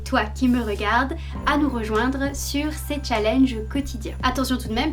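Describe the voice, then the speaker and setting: A woman hosting her own channel, walking the viewer through a plan in a scripted, direct-address style. Her voice sits a little high. She lays out each point patiently and clearly. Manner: explains step by step, speaking directly to the viewer. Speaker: channel host. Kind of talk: scripted video announcement.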